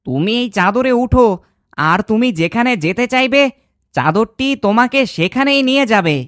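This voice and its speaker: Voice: mid-range voice, expressive, normal speed, fairly bright tone, no room sound, studio quality, lightly acted. An actor voicing a grumbling ghost in a cartoon, menacing yet easily cowed. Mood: submissive. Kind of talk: cartoon dialogue acting.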